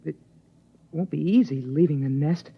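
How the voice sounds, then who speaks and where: An actor playing an earnest teenage boy who narrates, speaking in a slightly halting way in a 1950s radio drama. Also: on the high side, creaky, breathy edge. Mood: wistful. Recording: dull old radio broadcast recording.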